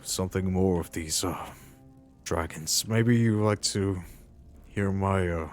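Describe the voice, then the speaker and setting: Man playing deep-voiced, earnest ex-mercenary hero. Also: faint music behind. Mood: probing. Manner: halting, deliberate, earnest. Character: earnest, reassuring male ex-mercenary adventurer hero. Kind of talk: improvised video game roleplay.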